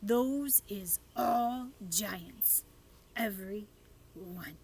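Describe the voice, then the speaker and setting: A woman's voice: mid-range voice, extremely slow, a little mellow, slightly rough, exaggerated voice. A woman voicing a big friendly male giant in a storybook, with garbled folksy grammar and made-up words. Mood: matter-of-fact.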